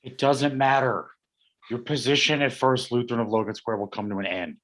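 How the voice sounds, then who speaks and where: A man acting a concerned but unbending school official, speaking in a quiet, measured way in a stage play reading. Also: medium pitch, steady tone, lightly acted. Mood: firm, grave.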